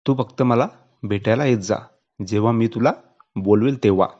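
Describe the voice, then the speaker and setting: A man's voice: fairly low pitch, moderate pace, darkish tone, lightly acted. Man reading as an insistent young male student, lo-fi. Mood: demanding. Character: young male student, infatuated, later coercive.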